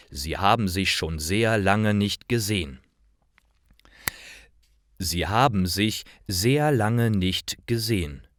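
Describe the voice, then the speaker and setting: A man narrates simple learner stories at a very slow pace, phrase by careful phrase. His voice sits fairly low but moves with very lively intonation. The sound is dry and close. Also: dry recording. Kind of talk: scripted narration, slow learner-friendly storytelling.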